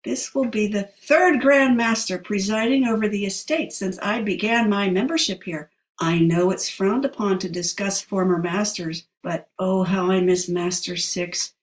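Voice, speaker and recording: A woman's voice: medium pitch, a little slow, few pauses, natural voice. A woman plays a grand, forgetful elderly lady in an audio play, given to dramatic, wistful rambling. She sounds distant from the microphone in an echoey room. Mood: rambling, nostalgic. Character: wistful, grand, dotty elderly female club member. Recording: roomy echo, off the mic.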